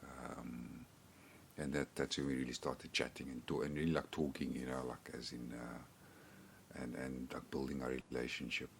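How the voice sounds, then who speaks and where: A man's voice: extremely low pitch, level tone, normal speed, vocal fry, fairly bright tone, a little hiss or hum. A man from South Africa with a South African accent, chatting casually on a podcast in a rambling way, often repeating words. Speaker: podcast guest and co-host. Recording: remote call.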